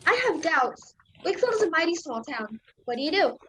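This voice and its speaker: A woman's voice: high voice, sing-song, a little fast, sharp tone, a little hiss or hum, heavily acted, poor audio. A woman playing a friendly, doubtful small-town cart driver with a folksy manner in a radio play.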